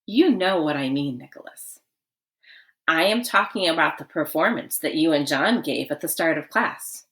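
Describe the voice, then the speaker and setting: A woman voicing a stern, formal female language arts teacher in a storybook, speaking in measured phrases. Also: deep voice, lively intonation, a bit bright. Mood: stern, accusing.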